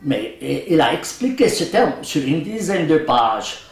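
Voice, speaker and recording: Brief: older man, Arabic native, lively up-and-down delivery, joking and hesitant, recorded at a distance in an echoey room. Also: on the high side, unhurried, gravelly. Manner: animated, joking, laughs often, many hesitations. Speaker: Quran translator being interviewed. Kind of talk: casual interview conversation. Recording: roomy, mic at a distance.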